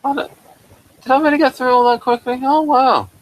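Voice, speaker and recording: A man hosting a casual review chat, speaking haltingly and often repeating his phrases. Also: extremely high pitch, fairly even intonation, low-quality recording. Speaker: host of review discussion.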